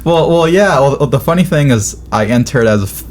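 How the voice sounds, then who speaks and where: A young American man chatting casually as a podcast host, in an informal, halting, self-interrupting way. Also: medium pitch, lively intonation. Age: young adult. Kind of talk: casual conversational chat. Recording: clearly noisy room ambience.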